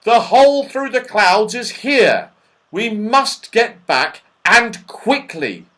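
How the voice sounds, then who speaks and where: A man's voice: fairly high pitch, very animated, normal speed, a bit bright. A man voicing an eager, bold young boy who calls out urgently in a children's storybook. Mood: urgent, despairing.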